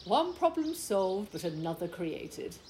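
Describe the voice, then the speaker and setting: An actress playing an indignant, fussy British village wife in a radio play, with a theatrically polite manner. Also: female voice, medium pitch, big pitch swings, measured pace, warm tone, slight background noise. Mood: indignant, scheming. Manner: theatrical, exaggeratedly polite on the phone.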